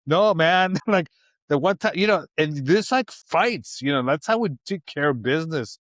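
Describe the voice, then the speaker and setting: A man's voice: a little high, expressive, moderate pace, fairly bright tone, dry recording. A man in his fifties chatting on a podcast, laid-back and slangy, often breaking into laughter. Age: 50s. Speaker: podcast co-host. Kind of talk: casual chat.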